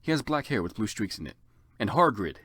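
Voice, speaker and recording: A man's voice: fairly low pitch, sing-song, brisk, fairly bright tone, slightly rough, dry recording. A man narrating a story theatrically for comedy, with sardonic asides as commentator. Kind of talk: dramatic comic reading with dry asides.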